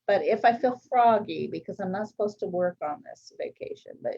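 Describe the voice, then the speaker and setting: A woman author chatting casually on a video call, talkative and quick to laugh. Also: medium pitch, expressive, warm tone, husky, lo-fi sound. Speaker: guest author.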